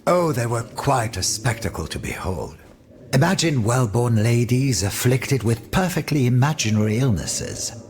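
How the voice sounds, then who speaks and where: A man's voice: mid-range voice, lively intonation, normal speed, a little mellow, natural voice. An actor playing a flamboyant, indignant French nobleman in a video game, with a florid, declamatory manner. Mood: mocking relish. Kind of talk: video game cutscene dramatic acting.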